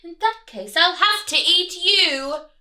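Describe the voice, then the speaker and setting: Woman doing a greedy highway rat, high and bright, boastful and sneering. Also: slow pace, exaggerated voice. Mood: menacing. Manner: boastful, sneering, sing-song threats. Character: greedy bullying male rat highway robber.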